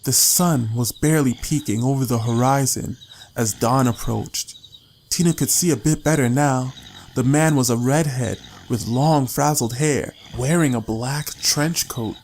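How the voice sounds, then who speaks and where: A man's voice: medium pitch, unhurried, darkish tone, a little hiss or hum. A man reading a story as an audiobook narrator, in a dramatic scripted style.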